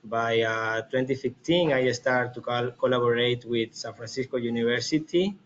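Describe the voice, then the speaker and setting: A man from Ecuador with an Ecuadorian Spanish accent, giving an informal slide presentation as an expedition guide, haltingly, often checking in with listeners. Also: mid-range voice. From Galapagos Islands, Ecuador. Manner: halting, with frequent "right?" check-ins. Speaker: expedition leader and biologist presenting. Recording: dull webinar audio, faint noise.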